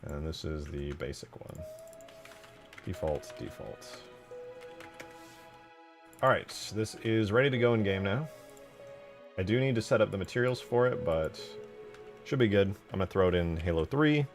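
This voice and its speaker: A man's voice: low-pitched, expressive, unhurried, long pauses, faint music behind. A man giving casual, informal live commentary as he works on game mods, muttering his thoughts aloud with the odd swear. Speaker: game modder, streamer.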